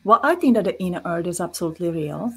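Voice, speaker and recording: A woman's voice: fairly low pitch, lively intonation, fast, a little mellow, husky. A woman with a Czech accent, a guest in an interview, explaining her views earnestly with many fillers. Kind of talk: casual interview talk.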